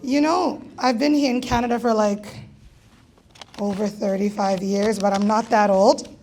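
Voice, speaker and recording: A woman emceeing a gala and calling raffle numbers, with a raspy voice and a playful, teasing manner. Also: medium pitch, steady tone, unhurried, a little mellow. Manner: playful, teasing, jokey asides. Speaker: event host calling raffle draws. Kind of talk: live emcee banter at a gala.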